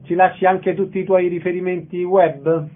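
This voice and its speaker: A man hosts a radio theatre show with a voice a little above the usual male pitch. He asks short, friendly questions. The sound is narrow and phone-like. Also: little pitch movement, normal speed. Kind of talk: casual radio interview.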